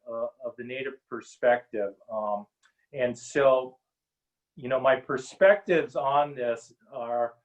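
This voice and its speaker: A middle-aged man from Hawaii speaking earnestly as a panel guest on a video call, with many pauses and ums. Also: medium pitch, highs cut off. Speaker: panel guest, Native policy advisor. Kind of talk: webinar panel talk.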